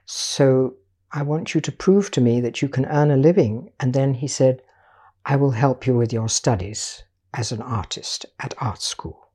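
An older woman, raised in Portugal, telling her life story as an artist in a measured, patient interview manner. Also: rumbling low, warm tone, slightly rough. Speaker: guest artist.

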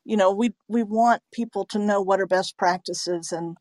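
A woman's voice: medium pitch, moderate pace, darkish tone, no echo. A woman speaking conversationally as an interviewer, earnest and agreeable in manner. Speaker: interviewer, narrator and website owner.

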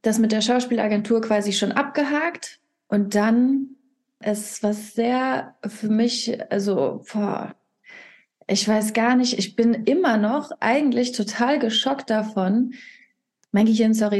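A woman's voice: medium pitch, a little mellow. A young woman talking candidly as a podcast guest, rambling and dropping English phrases into her speech. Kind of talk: casual podcast conversation.

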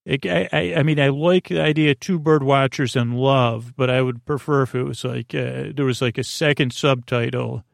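A man hosting a bedtime podcast in a murmuring drone, meandering and halting as he stammers and repeats words. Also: medium pitch, steady tone, vocal fry, no echo, studio quality. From United States. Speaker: podcast host. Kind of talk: rambling bedtime podcast monologue.

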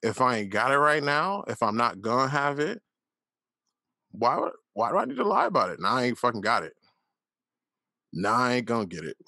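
A man's voice: medium pitch, sing-song, fairly quick, gravelly, long pauses, bright, forceful tone, no room sound, clean studio sound. A man in his late thirties hosting a solo podcast, talking candidly and telling stories with plenty of fillers and swearing. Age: 30s. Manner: candid, profane, storytelling with lots of fillers. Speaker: podcast host. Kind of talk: casual solo monologue.

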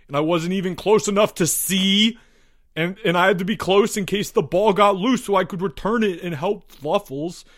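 A man's voice: mid-range voice, a bit bright, pitched above the performer's own voice. A man voicing a frightened, guilt-ridden schoolboy in a story, stammering and pleading his innocence.

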